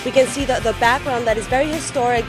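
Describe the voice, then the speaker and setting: With music clearly behind her, a woman speaks in a slightly high voice. She presents her restaurant in interview style, pausing and rephrasing as she goes. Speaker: restaurant representative. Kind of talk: promotional interview talk.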